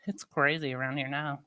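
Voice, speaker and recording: Woman, St. Louis accent, streamer in casual chat; slow drawl, bright tense tone. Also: a bass voice, steady tone. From Midwestern United States. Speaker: streamer chatting with viewers.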